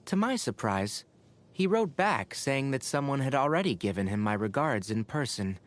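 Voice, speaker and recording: A man voicing a young fox-eared forest ranger in a video game, a scholarly mentor who talks in an articulate, wry, gently lecturing way. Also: mid-range voice, very animated, moderate pace, close to an everyday voice. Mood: puzzled. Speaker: video game character voice actor. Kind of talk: game character voice lines, conversational monologue. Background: background music.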